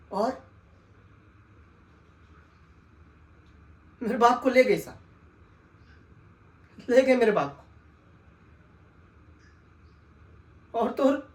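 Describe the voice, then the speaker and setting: Woman acting as a desperate orphaned son begging his sahib. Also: high voice. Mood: anguished. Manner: pleading, stammering, swinging from hushed to wailing. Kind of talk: dramatic audition monologue. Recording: echoey room.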